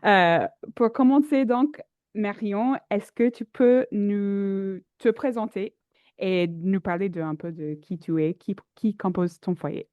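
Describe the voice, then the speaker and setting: A woman's voice: mid-range voice, takes it slowly, no room sound, phone-quality sound. A woman hosting a podcast interview, asking friendly questions, with a slight cold. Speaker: podcast host. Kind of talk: casual interview.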